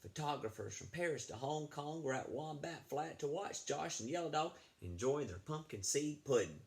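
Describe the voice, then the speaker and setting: A man reading a picture book aloud to children in an animated, chatty way, breaking off to ask them questions. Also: on the high side, normal speed, gravelly. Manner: animated, chatty, asks the young listeners questions. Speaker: children's read-aloud storyteller, school librarian.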